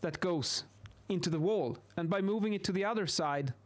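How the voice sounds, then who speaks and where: Man, thirties, Swedish accent, slightly high pitch, informal explainer, halting phrasing, close studio mic. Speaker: video host, acoustics instructor. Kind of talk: informal explainer while sketching. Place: small indoor home office. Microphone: close boom-arm studio microphone.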